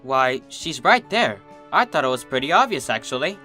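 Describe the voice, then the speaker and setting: A woman's voice: extremely low pitch, big pitch swings, normal speed, pushed and bright, soft background music, heavily acted. A woman voicing a regal, motherly pony princess in a cartoon fan story, gracious, cooing and playfully amused. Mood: matter-of-fact.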